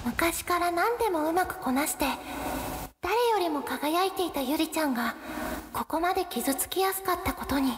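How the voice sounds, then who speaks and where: A woman's voice: extremely high pitch, expressive, normal speed. A woman playing an earnest, conciliatory teenage girl, a school club captain, in a radio drama. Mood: subdued, reflective. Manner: earnest, soothing, reasoning. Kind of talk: anime-like radio drama acting with narration. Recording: noisy background hum.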